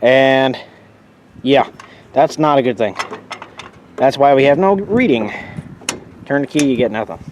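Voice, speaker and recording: A sniffly man in a casual vlog, rambling about a tractor's restoration with restarts and asides. His voice sits mid-range, recorded outdoors on a handheld camera mic. Clear background noise runs under the speech. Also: mid-range voice, a little slow, pauses often, a little mellow, lo-fi sound. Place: outdoors by an old tractor on a sunny day.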